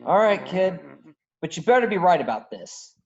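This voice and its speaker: A man's voice: high voice, sing-song, moderate pace. A man acting a cocky, skeptical smuggler in a table read, over a virtual call. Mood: wry. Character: cocky, skeptical male smuggler, gruff but improvising nervously. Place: virtual call from separate location. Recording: dull lo-fi video-call audio.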